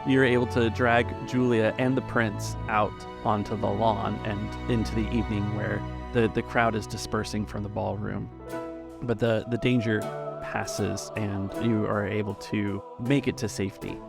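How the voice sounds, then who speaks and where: A man working as game master, narrating a tabletop story in a halting, measured way. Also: lowish voice, level tone, creaky, music in the background.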